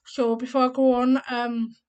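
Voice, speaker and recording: A woman in her thirties talks casually to camera in a slightly high voice. She is deaf, and her speech sounds a bit different because of it. She rambles through her explanations step by step, with plenty of ums along the way. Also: level tone, moderate pace, a little mellow, clear, resonant tone, muffled recording. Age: 30s. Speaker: vlogger.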